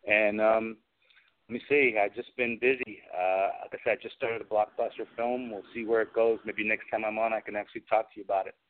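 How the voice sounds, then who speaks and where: A man's voice: on the low side, flat delivery, a little fast, a little hiss or hum. A man giving an informal, matter-of-fact update as a guest in a casual interview. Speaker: guest, costume and prop maker. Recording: dull lo-fi call audio.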